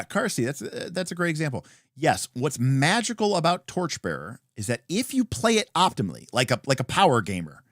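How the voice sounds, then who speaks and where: A man hosts a casual livestream with very lively, swinging intonation. He rambles and thinks aloud, then lands his opinions with emphasis. The recording is close and dry, with little sense of a room around him. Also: medium pitch, dry recording. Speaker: livestream host. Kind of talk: casual unscripted livestream chat; reading and answering viewer comments.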